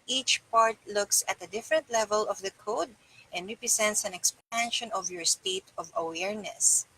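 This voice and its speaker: A woman's voice: mid-range voice, moderate pace, bright, forceful tone, a little hiss or hum, rough recording. A middle-aged woman reading aloud for a live stream, with casual asides to her viewers. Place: small indoor room with bare wall.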